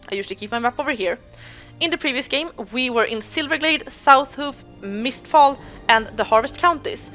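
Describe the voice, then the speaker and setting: A woman's voice: a little high, fairly bright tone. Woman narrating gameplay. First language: Swedish. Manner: chatty, explanatory, self-correcting. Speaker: gaming video host. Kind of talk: let's play walkthrough commentary.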